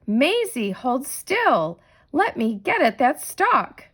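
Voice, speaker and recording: A woman's voice: high-pitched, sing-song, slow pace, husky, voice pushed up, full character voice. A woman voicing a stern, exasperated veteran female schoolteacher in a children's storybook. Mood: firm.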